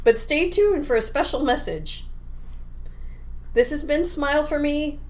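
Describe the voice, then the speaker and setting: A woman's voice: high voice, unhurried, darkish tone, rough recording. A woman co-hosting a podcast, chatting casually and informally. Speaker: podcast co-host. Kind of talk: casual game discussion.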